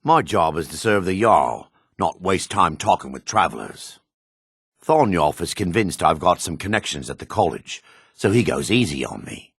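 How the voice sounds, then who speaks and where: A man voicing a gruff, dry-mannered dark elf warrior and townsman in a fantasy video game, with a gravelly, husky voice. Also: deep voice, very animated, moderate pace, dry recording, heavily acted. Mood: disdainful, weary. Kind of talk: video game character voice lines.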